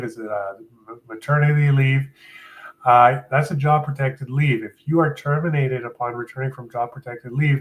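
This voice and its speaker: A man's voice: mid-range voice, poor audio. A man with a sniffly cold, giving conversational, explanatory legal advice to viewers as host of a live Q&A. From Canada. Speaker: employment lawyer hosting a live Q&A.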